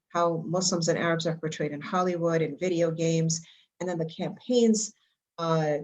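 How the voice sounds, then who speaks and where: An older woman from Egypt giving a prepared talk with slides at a school board meeting, halting and full of fillers and restarts. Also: low voice, a little mellow, rough recording. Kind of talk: prepared public comment with slides.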